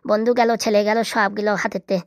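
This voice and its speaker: A man's voice: high voice, little pitch movement, fast, dry recording, clean studio sound, lightly acted. A man voicing a troubled, remorseful married villager who drinks, grumbling and scolding himself in a comedy cartoon. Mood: remorseful, self-pitying. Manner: grumbling, self-scolding, rambling. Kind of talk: comedy cartoon dialect acting.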